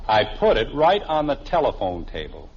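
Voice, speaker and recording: Through a muffled old radio recording comes a stubborn, flustered American family man. His pitch swings wildly as he hesitates and protests in 1950s sitcom style. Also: huge pitch swings, normal speed, slightly rough. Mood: puzzled, defensive. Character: flustered, stubborn suburban husband and father. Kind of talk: 1950s radio situation comedy acting.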